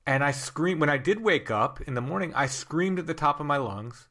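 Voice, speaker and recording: A man's voice: fairly high pitch, expressive, normal speed, bright, forceful tone. Talk-show host man, emphatic. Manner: conversational, emphatic, repeats himself. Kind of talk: casual interview chat.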